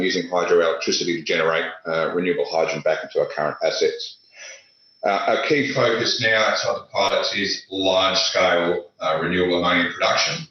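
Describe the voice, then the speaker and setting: A low-pitched man gives his panel remarks over a video call. He talks haltingly and keeps restarting with fillers. The sound is dull and lo-fi, with heavy room echo. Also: fairly bright tone. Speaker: panelist, industry executive. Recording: echoey, dull lo-fi audio.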